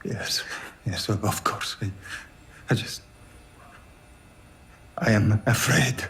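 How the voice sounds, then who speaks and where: A man's voice: lowish voice, fairly even intonation, drawn-out words, gravelly, a bit bright, exaggerated voice. An actor playing a frightened, injured male patient who speaks hesitantly. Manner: hesitant, faltering.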